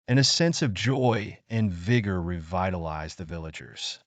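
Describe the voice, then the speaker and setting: Male narrator for children's tales, lowish register, highly animated intonation, earnest and measured. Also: no echo. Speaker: storyteller. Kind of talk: scripted children's story narration.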